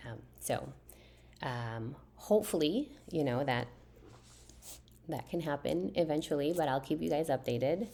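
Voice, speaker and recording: A soft-spoken woman in her thirties answers her viewers' questions in a relaxed, chatty way. Her voice sits very low and has a gravelly fry. She often pauses and restarts as she talks. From Philippines. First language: a Philippine language. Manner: soft-spoken, hesitant with many fillers and restarts. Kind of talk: casual chat to camera.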